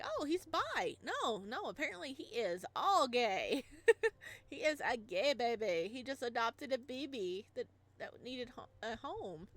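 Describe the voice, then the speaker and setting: A woman streamer playing a visual novel, reacting excitably and laughing often. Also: medium pitch. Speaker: game streamer.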